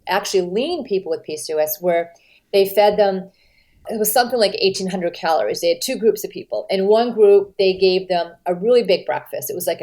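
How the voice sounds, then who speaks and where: A middle-aged American woman, a dietitian being interviewed, explaining in an animated, emphatic way with lively swings of pitch. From United States. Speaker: registered dietitian, guest. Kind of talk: conversational podcast interview.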